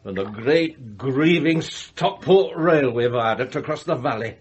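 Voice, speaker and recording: A man's voice: mid-range voice, lively intonation, unhurried, close to an everyday voice. An actor playing a wealthy middle-aged magnate in a radio play, savouring his words in rhapsodic, declamatory speeches. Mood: bitter, relishing disgust. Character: wealthy theatrical male magnate, morbidly eloquent about his grim past. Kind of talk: radio drama acting.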